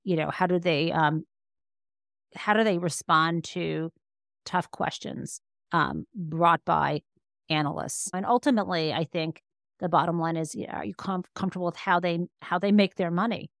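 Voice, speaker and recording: A woman's voice: lowish voice, moderate pace, creaky, fairly bright tone, no echo, studio quality. A woman speaking as an expert podcast guest, explaining conversationally and emphatically, often rephrasing as she goes. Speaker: interview guest, law professor and author.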